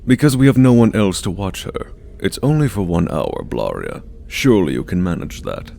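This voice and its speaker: An actor playing a stern, condescending senior scientist in an audio drama, speaking in measured, authoritative phrases. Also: male voice, sits low, very animated, gravelly, warm tone, heavily acted. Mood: stern, commanding. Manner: measured, authoritative, clipped orders.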